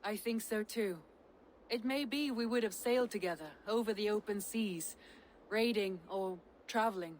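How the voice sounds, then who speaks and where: An actress playing a stoic Norse noblewoman with an adventurous heart, speaking earnestly in measured, formal phrases for a video game. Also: mid-range voice, a little mellow, slight background noise, natural voice, low-quality recording. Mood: wistful. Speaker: game character. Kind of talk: dramatic game cutscene acting.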